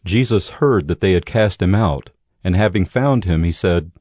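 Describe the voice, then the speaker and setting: A man giving measured, scripted narration for a dramatized audio Bible, in a storytelling manner. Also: low voice, a little mellow, no room sound.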